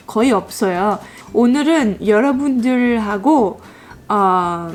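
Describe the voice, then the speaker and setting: A woman from France with a French accent, chatting casually as a vlogger, bubbly and halting with fillers. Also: fairly high pitch. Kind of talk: casual chat.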